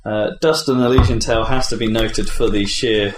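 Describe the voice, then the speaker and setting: Man, low voice, casual podcast host, halting and emphatic, roomy sound, faint noise. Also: fairly even intonation. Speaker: podcast host presenting award categories.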